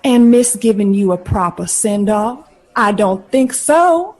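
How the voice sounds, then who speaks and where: An actress playing a poised, glamorous and warm lady star of the 1940s in a period audio drama, with a husky voice. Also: medium pitch, expressive, a little slow. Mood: playful, gracious.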